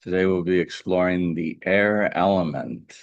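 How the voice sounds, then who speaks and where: A man leading a guided meditation as a teacher, speaking in a calm, gentle, deliberate way with pauses. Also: sits low, vocal fry, no echo, highs cut off.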